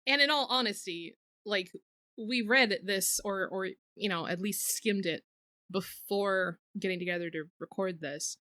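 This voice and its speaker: A woman's voice: mid-range voice, measured pace, sharp tone. A woman co-hosting a podcast in casual chat, with a playful, irreverent and sweary way of talking. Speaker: podcast co-host.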